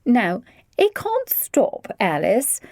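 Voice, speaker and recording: A woman's voice: a little high, huge pitch swings, takes it slowly, a little mellow, dry recording, clean studio sound, pitched above the performer's own voice, heavily acted. A woman voicing a posh, gracious upper-class lady who speaks in a languid, affected way.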